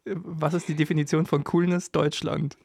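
A woman's voice: low voice, little pitch movement, normal speed, vocal fry, a little mellow, husky, dry recording. A woman hosting a podcast, asking eager, curious follow-up questions in casual chat. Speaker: podcast host.

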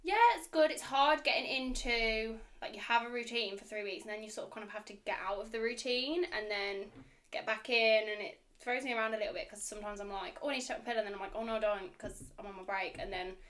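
A woman's voice: fairly high pitch, fast, fairly bright tone. A twenty-year-old woman talking casually as a podcast guest. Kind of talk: casual chat.

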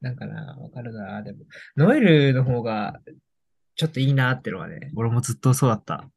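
A man co-hosting a casual podcast, listening closely and backing his partner with a steady stream of short agreements. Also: mid-range voice, fairly quick, slightly rough. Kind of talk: casual chat about music.

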